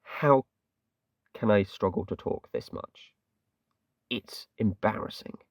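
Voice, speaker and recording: Gravelly English man, casual game commentary. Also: fairly low pitch, takes it slowly, no echo, studio quality, recorded from a distance. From England. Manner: chatty, rambling, self-deprecating, lots of fillers like "kinda". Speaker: gaming channel host.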